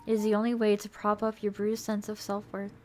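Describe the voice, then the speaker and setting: A woman's voice: mid-range voice, completely flat delivery, brisk. A woman streamer who narrates a visual novel aloud and chats casually with viewers. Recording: music playing behind the voice. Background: music.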